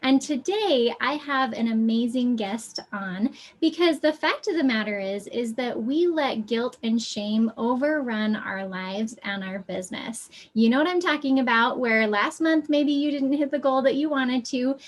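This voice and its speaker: A woman hosts a business podcast in an enthusiastic, upbeat way. Her voice sits a little high, and she introduces and questions her guest with eager energy. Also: a little slow, hardly any pauses. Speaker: podcast host and business coach. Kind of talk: podcast interview hosting.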